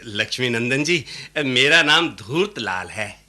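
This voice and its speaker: A man's voice: mid-range voice, lively intonation, a little slow, sharp tone. An actor playing a sly, scheming man in a radio play, oily, chuckling and blustering, fawning on his betters. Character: sly, scheming, fawning male matchmaker brother. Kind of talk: Hindi radio play acting.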